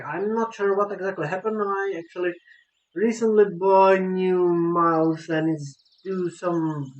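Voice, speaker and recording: A man, an English speaker, giving a casual, unscripted game review in a halting, repetitive way as he searches for words. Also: high-pitched, highs cut off. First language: a language other than English. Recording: roomy echo, music playing behind. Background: soft guitar game music.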